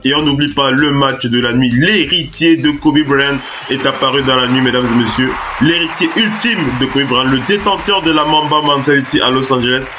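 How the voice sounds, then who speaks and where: A French-accented man hosts a basketball talk show on web radio, voice congested with the flu. He stumbles through fillers and restarts, then surges into excited announcing. His pitch sits at an ordinary middle. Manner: hesitant, many filler sounds and restarts, hyped-up announcer bursts. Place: radio studio.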